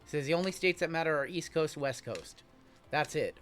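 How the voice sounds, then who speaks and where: A man's voice: a little high, lively intonation, a little fast, fairly bright tone, faint music behind. A man hosting a live card break, chatting casually and reading the chat aloud with a joking manner. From Iowa. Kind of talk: casual live-stream chat while opening cards.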